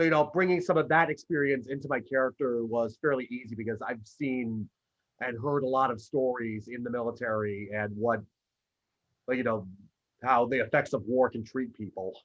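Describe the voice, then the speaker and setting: A man's voice: mid-range voice, steady tone, normal speed. A man, an actor, chatting casually as an interview guest, heard through dull video call audio. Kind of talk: casual, conversational interview answers.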